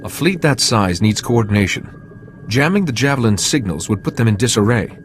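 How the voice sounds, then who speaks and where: An actor playing a resolute, composed Jedi leader in a video game, measured and authoritative. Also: male voice, mid-range voice, lively intonation, noisy background, natural voice. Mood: determined. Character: resolute, composed male Jedi hero leading a mission. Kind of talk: scripted video game acting.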